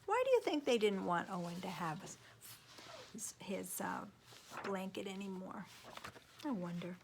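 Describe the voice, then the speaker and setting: A woman reading a picture book aloud to children, with a lively voice that rises and falls. She chats and asks questions between the pages. Faint room noise hums behind her. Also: medium pitch, measured pace. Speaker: storytime reader.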